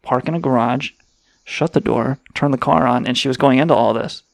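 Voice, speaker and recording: A man's voice: medium pitch, fairly quick, gravelly, no echo. A man hosting a crude comedy radio show, sarcastic and rambling, swearing freely. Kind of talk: irreverent comedic banter.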